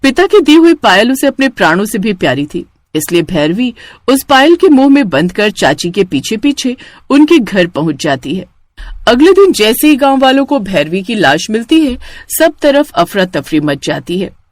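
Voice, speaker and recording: A woman narrator telling a scripted cartoon horror story dramatically. Her voice is fairly high with very lively intonation, recorded dry without room sound. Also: normal speed, dry recording.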